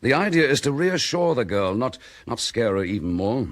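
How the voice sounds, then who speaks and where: A man's voice: medium pitch, sing-song, moderate pace, lightly acted. A man playing a dogged, blunt chief inspector in a vintage radio drama. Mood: explaining.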